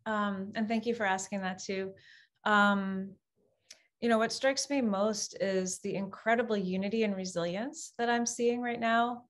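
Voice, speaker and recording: Casting note: woman guest researcher, measured and thoughtful, hesitant delivery with frequent fillers. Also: mid-range voice. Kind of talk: webinar interview answers.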